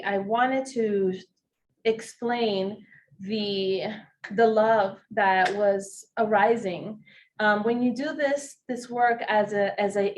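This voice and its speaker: A woman from Ecuador giving an informal welcome address, haltingly, repeating words as she gathers her thoughts. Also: mid-range voice, slight background noise. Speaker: event speaker, consultant.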